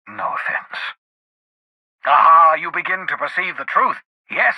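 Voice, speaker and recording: An actor playing a cantankerous, socially awkward scientist in a video game, raspy, with a fussy, wordy, theatrical delivery. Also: male voice, mid-range voice, sharp tone, dry recording, exaggerated voice, poor audio. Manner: fussy, wordy, dramatic, with muttered asides.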